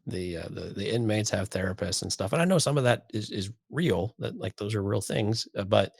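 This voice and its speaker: Lowish guy on a podcast, sarcastic and kinda disbelieving, just chatting, really dry room sound. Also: expressive, a little fast, creaky, slightly rough, no room sound. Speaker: podcast co-host. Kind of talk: casual opinionated chat.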